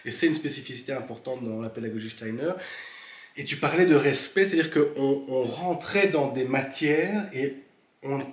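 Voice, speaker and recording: A man joining a relaxed conversation, speaking in a measured way about a school he went to. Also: medium pitch. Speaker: former Steiner school pupil. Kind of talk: casual conversation. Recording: echoey room.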